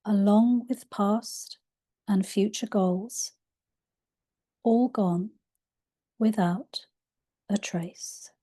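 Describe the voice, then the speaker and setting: A woman reading aloud from her own spiritual book in a soft, unhurried way, her voice a little croaky. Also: medium pitch. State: slightly croaky voice. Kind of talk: slow gentle book reading.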